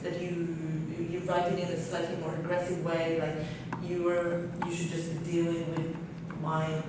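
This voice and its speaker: A woman's voice: fairly low pitch, completely flat delivery, moderate pace. A woman from Norway speaking on a seminar panel, candid and thinking aloud with fillers, in a large hotel conference room. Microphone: table mic heard from far off. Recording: very echoey room, far from the mic, noisy.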